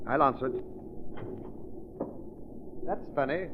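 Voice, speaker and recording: An actor playing a chatty, obliging ship's steward with a breezy manner in a 1930s radio drama. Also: male voice, mid-range voice, slow pace, lightly acted. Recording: tinny, faintly noisy 1930s radio.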